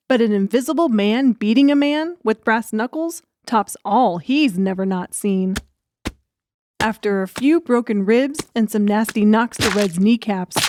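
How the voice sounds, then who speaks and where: A woman's voice: on the high side, background noise. A woman reading dramatic scripted audiobook narration as the storyteller.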